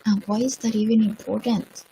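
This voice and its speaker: A middle-aged woman from Colombia, speaking, gives a slow, reflective motivational livestream talk while sick, with many ums. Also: mid-range voice, steady tone, gravelly, mellow, slight background noise, low-quality recording. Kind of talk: livestream monologue.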